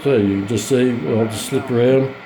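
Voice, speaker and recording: A man giving a casual, unscripted product demonstration as a hobbyist collector, speaking haltingly with very frequent ums and pauses. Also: mid-range voice, fairly even intonation, crowd noise, rough recording. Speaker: radio collector presenting a receiver.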